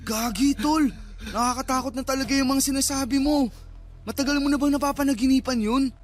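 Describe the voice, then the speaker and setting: A rattled young Filipino man in a radio drama. His voice is very high, and his manner is skeptical and spooked. Music plays underneath. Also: steady tone, fairly quick. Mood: rattled, exasperated. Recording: music bed behind the voice.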